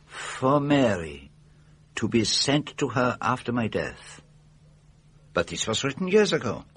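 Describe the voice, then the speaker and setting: An actor playing an older Belgian detective, shrewd and patient, speaking formal, precise English with a Belgian French accent in a radio drama. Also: male voice, medium pitch, sing-song, a bit bright, husky, full character voice. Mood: grave, measured. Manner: precise, formal, deliberate questioning.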